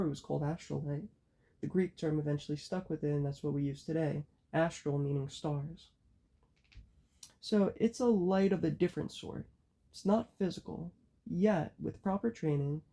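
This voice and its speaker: Woman, hoarse from a cold, raspy, very dark mellow tone, steady explanatory lecture. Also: deep voice. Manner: measured, explanatory delivery. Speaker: occult channel host. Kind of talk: spoken lecture.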